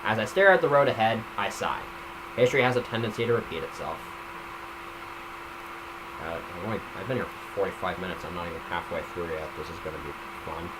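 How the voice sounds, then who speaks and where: Low-voiced man reading casually over noisy mic. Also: lively intonation, brisk, pauses often. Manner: informal, stumbling, self-commenting. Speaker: reader of a self-written story. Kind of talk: casual amateur story reading with asides. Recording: noisy home microphone recording.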